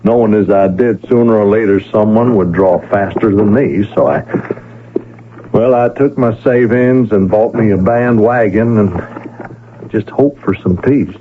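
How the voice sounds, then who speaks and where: An actor playing a reluctant gunfighter in a radio western, plain-spoken and earnest, with a cowboy drawl from the American West. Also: male voice, on the low side, a little slow, close to an everyday voice. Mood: regretful. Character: weary reluctant gunfighter cowboy turned peddler, calm and protective. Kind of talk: 1970s radio western acting. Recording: noisy, tinny old radio broadcast.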